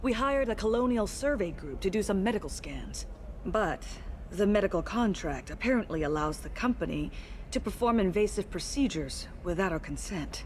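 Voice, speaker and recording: An actress playing an earnest, polite alien woman in a video game, speaking in a measured, diplomatic manner. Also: medium pitch, animated, a little hiss or hum, close to an everyday voice. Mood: earnest, concerned. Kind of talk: video game dialogue acting.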